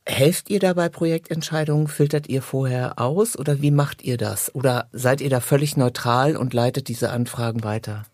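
Man, podcast host, conversational questioning, mid-pitched voice, dry recording. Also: normal speed, few pauses, darkish tone, no room sound.

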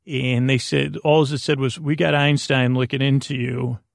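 Gravelly American man, rambling and halting. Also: mid-range voice, little pitch movement, no echo, studio quality. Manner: halting, self-deprecating. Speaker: sleep podcast host. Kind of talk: rambling, meandering bedtime monologue.

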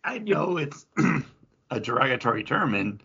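A man's voice: medium pitch, big pitch swings, a little slow, gravelly, bright, forceful tone, slightly rough, highs cut off. A man co-hosting a podcast, giving his opinions in casual, conversational talk. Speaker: podcast co-host reviewing comic books.

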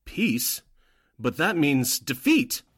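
An earnest, obedient underling acted by a man in a wartime radio play, hesitant and uneasy before a superior. The voice is a little husky and jumps around in pitch. It is recorded dry, with little room sound. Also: big pitch swings, slow, a bit bright, dry recording, heavily acted. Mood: shocked, uneasy. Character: deferential newspaper editor, secretly a Nazi agent, uneasy underling. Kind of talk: 1940s radio drama acting.

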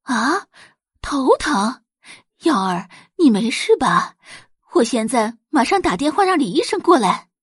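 A woman's voice: high voice, sing-song, a little slow, breathy edge, dry recording, clean studio sound, pitched above the performer's own voice, heavily acted. A woman voicing a doting rich mother in an audio drama, fussy, theatrical and exaggerated in every reaction. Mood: anxious. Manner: exaggerated, gushing, fretful.

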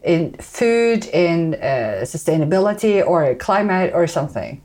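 A woman hosting a casual interview, putting playful quick-fire questions to her guest. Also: lowish voice, big pitch swings, darkish tone. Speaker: podcast host, interviewer.

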